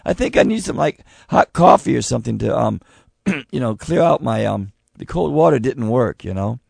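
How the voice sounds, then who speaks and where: A man hosting a live radio talk show, loud and excitable, losing his voice. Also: medium pitch, normal speed, vocal fry, slightly rough, dry recording. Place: radio studio with live audience.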